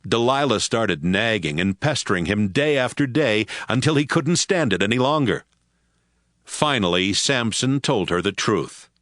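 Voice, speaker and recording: A man's voice: medium pitch, expressive, moderate pace, fairly bright tone, no room sound, studio quality. A man giving scripted narration for a Bible audio drama.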